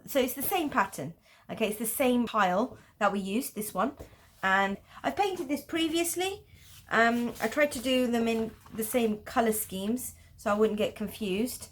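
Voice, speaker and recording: A woman's voice: fairly high pitch, a little slow, a little hiss or hum. A woman with a Pakistani English accent, patiently explaining geometric drawing in a tutorial. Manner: patient, explanatory, with light laughs. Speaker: art teacher.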